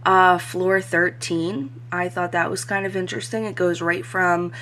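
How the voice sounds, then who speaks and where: A woman talking casually as a cruise vlogger, her voice a bit hoarse from crying the day before, with lots of "um" fillers. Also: lowish voice, fairly even intonation, moderate pace, fairly bright tone, slight background noise. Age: adult. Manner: chatty, frequent "um" fillers. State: slightly hoarse voice after crying. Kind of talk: casual review vlog.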